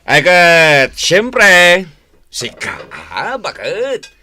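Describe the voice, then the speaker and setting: Casting: easygoing, placating husband, bright lively delivery, coaxing, radio comedy. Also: male voice, sits high, extremely slow, faint music behind, heavily acted. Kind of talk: Ilocano radio comedy drama acting.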